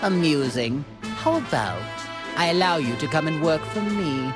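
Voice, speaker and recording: Cruel alien emperor, mock-polite, condescending, highly animated pitch, video game dub, loud music behind. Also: male voice, measured pace. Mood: coldly threatening. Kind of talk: English anime game dub acting.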